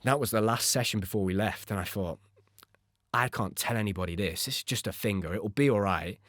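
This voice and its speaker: A man's voice: fairly low pitch, fairly quick, a bit bright, no room sound, studio quality. An English man in his thirties telling a personal story on a podcast in an earnest, conversational way. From United Kingdom. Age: 30s. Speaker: podcast host and former gymnast.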